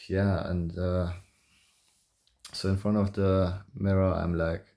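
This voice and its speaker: A man in his early twenties speaking softly and calmly in a halting, hesitant way, thinking aloud in a personal confessional vlog. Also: sits low, creaky. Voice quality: soft voice. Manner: calm, halting, hesitant with long pauses. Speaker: vlogger.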